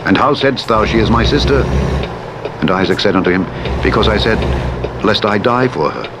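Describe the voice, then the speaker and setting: A man narrating the Bible as a scripted reading, in a solemn, measured manner. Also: on the low side, expressive. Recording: loud truck engine noise over playback. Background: virtual truck driving sounds from a simulator game.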